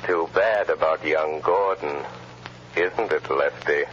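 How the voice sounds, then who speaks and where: An actor playing a mysterious, taunting male vigilante in a 1930s radio drama, speaking slowly with a mocking menace. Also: extremely low pitch, a bit bright, a little hiss or hum, exaggerated voice. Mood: menacing. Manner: slow, menacing, mocking laugh. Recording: dull old radio recording.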